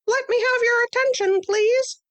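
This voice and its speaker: Woman voicing a tiny pompous mouse-man teacher, very high and bright-toned, crisp and bossy, storybook read. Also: steady tone, moderate pace. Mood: commanding. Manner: crisp, bossy, lecturing, curt. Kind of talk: storybook reading.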